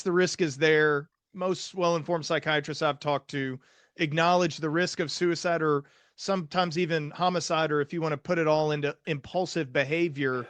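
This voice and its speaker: A man's voice: on the high side, fairly bright tone, dry recording. A man hosting a conversational interview as a psychiatrist, talking in an earnest, explanatory way. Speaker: podcast host, psychiatrist.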